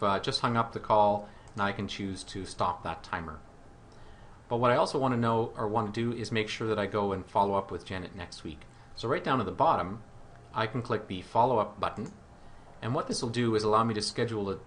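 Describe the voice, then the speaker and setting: A man presenting a software demo in a conversational, step-by-step explanatory way, with some hesitant pauses. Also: fairly low pitch, a little hiss or hum. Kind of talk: conversational software tutorial narration.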